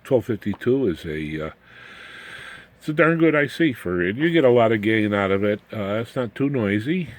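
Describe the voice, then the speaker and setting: Male, low-pitched hobbyist explainer; folksy, slangy, informal technical talk that rambles. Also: lively intonation, normal speed. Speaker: electronics hobbyist presenter.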